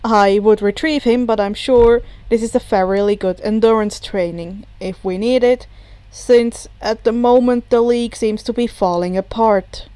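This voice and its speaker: A woman's voice: a little high, steady tone, unhurried, mellow, no room sound, lightly acted. A woman voicing a deferential, weary male villain henchman with a polite, dry manner. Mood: resigned.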